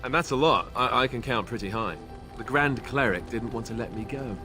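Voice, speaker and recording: A man's voice: medium pitch, fairly quick, close to an everyday voice. A man voicing a young male warrior and former templar trainee in a fantasy video game, speaking with wry, self-mocking humor. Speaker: companion character in a video game. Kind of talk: voiced game dialogue. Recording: game audio over background music. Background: game soundtrack music.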